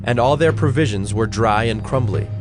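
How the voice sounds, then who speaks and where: A man with a mid-pitched voice narrates scripture. His storytelling is measured and solemn, as in a dramatized audio Bible, with music clearly playing behind him.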